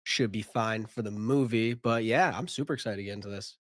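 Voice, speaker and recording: A man's voice: fairly low pitch, brisk, dry recording, clean studio sound. Congested young man, easygoing co-host. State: congested from a cold. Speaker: co-host of a movie reaction channel. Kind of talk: casual reaction chat. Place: home room, indoors. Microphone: studio mic, headphones.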